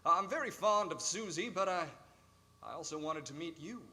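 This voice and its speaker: Actor as a bright, animated, bashful male neighbor. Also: a little high, normal speed, full character voice. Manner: gentle, hesitant, good-humored. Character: friendly, slightly bashful bachelor neighbor. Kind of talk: radio play acting.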